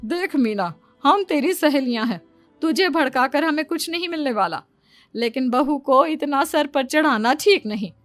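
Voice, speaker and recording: A woman's voice: high-pitched, expressive, moderate pace, no echo, soft background music. A woman playing a gossipy middle-aged neighbour in a dramatized moral story, judgmental, preachy and insinuating, drawing out her words.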